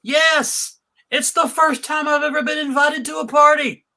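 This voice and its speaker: A man with a creaky voice playing a hapless, earnest cartoon boy at a live table read. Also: extremely high pitch, fairly even intonation, a little slow, sharp tone, exaggerated voice. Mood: excited.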